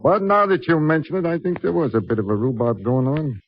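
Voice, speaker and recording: A man's voice: fairly high pitch, a little fast, dry recording. An actor playing an easygoing, plain-spoken male barkeep in a 1950s radio drama, slangy and offhand. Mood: offhand, chatty. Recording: muffled old radio broadcast.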